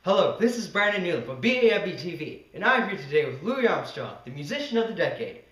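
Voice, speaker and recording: A man's voice: high voice, big pitch swings, fast, recorded from a distance, music in the background. A man playing a polite, eager television interviewer in a scripted amateur newsreel. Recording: echoey room, away from mic.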